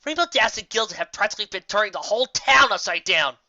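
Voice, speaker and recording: A woman's voice: medium pitch, lively intonation, fast, sharp tone, no echo, dull audio, pitched above the performer's own voice. A woman voicing a temperamental pegasus mare in a storybook reading, exasperated and emphatic. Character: temperamental, fed-up pegasus mare, earnest and outraged.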